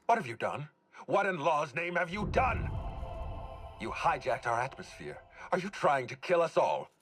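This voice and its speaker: An actor playing an outraged, possessive villain in a video game, indignant and accusing in a frantic way. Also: male voice, on the high side, big pitch swings, moderate pace. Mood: furious, frantic. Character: outraged, possessive male villain obsessed with his creation. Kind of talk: dramatic video game acting. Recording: loud music behind the voice.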